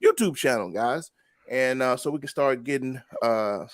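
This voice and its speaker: A man's voice: medium pitch, expressive, moderate pace, dry recording, studio quality. A man hosting a casual livestream talk show, chatty and greeting viewers by name. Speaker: show host.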